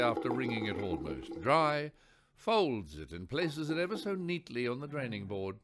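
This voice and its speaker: A man narrating a radio play in a wry, theatrical storyteller's manner with deliberate emphasis. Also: mid-range voice, a bit bright.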